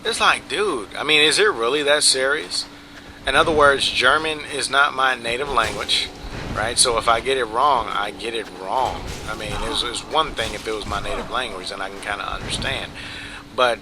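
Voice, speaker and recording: Dude rambling thru game commentary, mid pitch, lots of "you know, right?", hissy noisy recording. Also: male voice, moderate pace. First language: English. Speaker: gameplay commentator. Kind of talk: casual commentary over gameplay.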